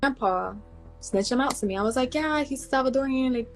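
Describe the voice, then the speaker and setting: A woman excitedly gossiping to her viewers. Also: high-pitched, fairly quick, soft background music. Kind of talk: gossiping on a livestream.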